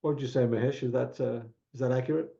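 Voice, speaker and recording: Guest, Australian accent, Italian first language, casual storyteller, muffled lo-fi audio. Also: male voice, mid-range voice, steady tone, quick pace, vocal fry, mellow. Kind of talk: casual podcast conversation.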